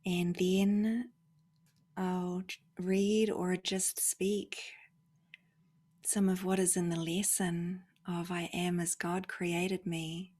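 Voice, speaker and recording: A woman gently guides a meditation in a breathy, slightly low voice. She speaks very slowly, leaving long pauses and often sinking into a soft near-whisper. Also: level tone. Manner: unhurried, with long pauses between phrases.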